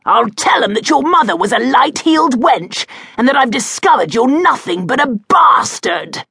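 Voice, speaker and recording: A woman's voice: extremely high pitch, huge pitch swings, extremely slow, creaky, no room sound, studio quality, voice pushed up, exaggerated voice. A woman voicing a blustering, hot-tempered older male duke who bellows his lines in an audiobook. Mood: furious. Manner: bellowing, shouting. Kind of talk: audiobook character voice.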